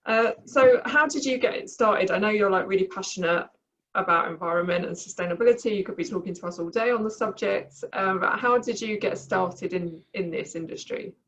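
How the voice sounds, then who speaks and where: A woman's voice: medium pitch, a little fast, fairly bright tone. Casual female interviewer, dull lo-fi sound.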